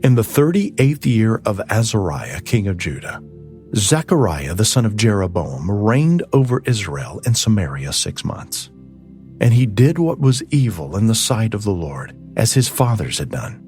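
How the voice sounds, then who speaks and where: Low-voiced man reading scripture over music. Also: sing-song, a little slow, darkish tone. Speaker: scripture narrator. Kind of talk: scripted audiobook Bible reading. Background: ambient music.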